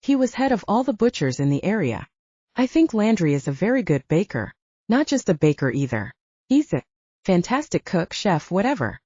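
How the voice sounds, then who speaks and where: Woman audiobook narrator, mellow low voice, lively pitch, dry slightly dull recording. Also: normal speed, breathy edge, dry recording. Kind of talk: first-person audiobook narration.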